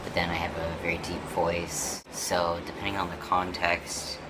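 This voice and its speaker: A young man chatting casually to his camera at home, keeping his voice hushed and half-whispered, with music playing behind. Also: low voice, flat delivery, moderate pace, very noisy. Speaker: vlogger. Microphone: camera mic on a shaky setup.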